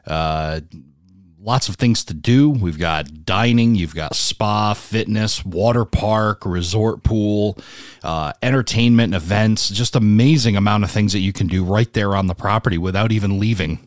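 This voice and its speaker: A man with a fairly low voice hosts a podcast interview. He asks questions and reads out details casually, recorded close and dry with no room sound. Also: a little slow, no echo.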